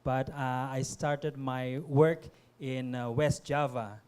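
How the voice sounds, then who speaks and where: A middle-aged man from Indonesia, a guest on a panel talk, speaking haltingly with many fillers and restarts. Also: medium pitch, steady tone, darkish tone, clean tone. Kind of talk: panel conversation.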